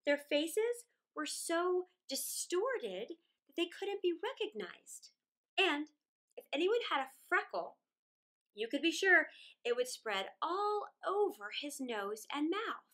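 A middle-aged woman reading a children's story aloud in a theatrical, deliberate way, drawing out words with dramatic pauses. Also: high-pitched, sing-song, a bit bright. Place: small indoor room against a plain wall.

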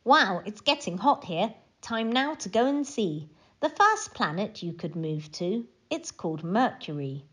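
A woman voicing a tiny, friendly alien tour guide in a children's storybook, speaking in expressive, inviting rhyme. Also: medium pitch, a little slow, slightly rough, full character voice. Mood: inviting, excited.

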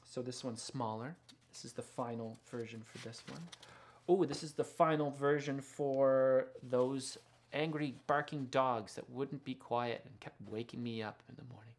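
A man with a medium-pitched voice talks casually through a demonstration. He muses aloud as he works, as if half talking to himself. He sounds like an illustrator in his thirties giving an informal show-and-tell. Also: fairly even intonation, normal speed, slight background noise. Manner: musing, talks to himself while working. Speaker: illustrator and picture book author. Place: indoors in a small studio with books and records.

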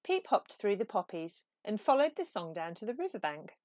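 A woman reading a children's picture book aloud as an expressive, animated storyteller. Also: medium pitch, moderate pace, no echo, studio quality. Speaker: storybook reader.

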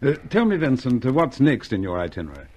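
A man's voice: medium pitch, wildly swooping pitch, quick pace, a little mellow, breathy edge. Courteous male 1950s radio host. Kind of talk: 1950s radio announcing and scripted interview.

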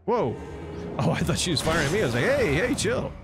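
Animated man commentating over loud game music. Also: fairly high pitch, fast talker. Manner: reactive, slangy exclamations. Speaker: gameplay commentator. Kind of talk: casual video game commentary. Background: game music and action sounds.

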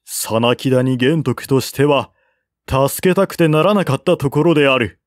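A man's voice: mid-range voice, moderate pace, dry recording, clean studio sound. A man reading a historical novel aloud as scripted literary narration. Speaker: audiobook narrator.

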